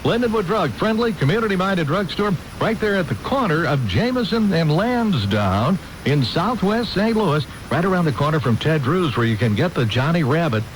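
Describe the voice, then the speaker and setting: A man's voice: on the high side, very animated, moderate pace, hardly any pauses. An older American man hosting an oldies radio show, chatting casually between records and ad-libbing sponsor reads in a folksy, rambling way. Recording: noisy AM radio broadcast.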